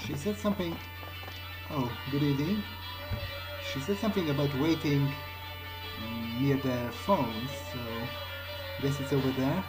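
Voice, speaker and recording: A man role-playing through a video game, thinking aloud in halting, in-character commentary. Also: mid-range voice, low-quality recording. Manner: halting, muttered self-talk with swearing. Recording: loud game music behind. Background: video game music.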